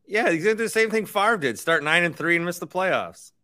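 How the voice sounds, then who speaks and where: A man's voice: high voice, lively intonation, a little fast, bright, forceful tone. A man co-hosting a casual sports podcast with a dry, joking way of talking. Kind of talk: casual sports chat with sponsor reads.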